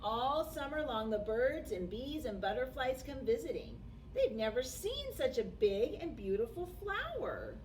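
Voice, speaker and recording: A woman reading a picture book aloud to young children as a storytime host, with deliberate, expressive phrasing and dramatic pauses. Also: sits high. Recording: distant microphone with faint background noise.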